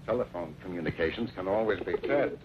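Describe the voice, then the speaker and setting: An actor playing a sly, hard-nosed smuggling boss in an old western drama, speaking in a smooth, folksy way. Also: male voice, sits low, fast talker, lightly acted. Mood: calm, calculating. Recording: old muffled, noisy radio-era recording.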